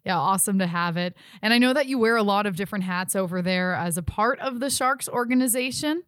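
A woman's voice: mid-range voice, fairly quick, fairly bright tone, dry recording, studio quality. A woman hosting a sports podcast, presenting team news and putting questions to a guest. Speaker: sports podcast host and interviewer. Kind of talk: scripted sports segment and interview.

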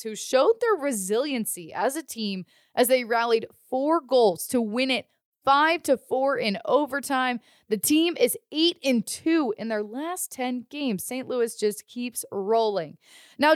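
A woman's voice: sits high, dry recording, studio quality. A woman hosting a sports podcast, reading scripted news and recaps. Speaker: podcast host.

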